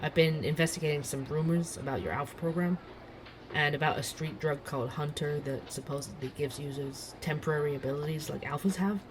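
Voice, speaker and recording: A man acting a nervous, eager young barista and podcaster in an urban fantasy audio drama, halting and apologetic. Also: fairly high pitch, steady tone, background noise, close to an everyday voice. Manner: halting, self-interrupting, awkward.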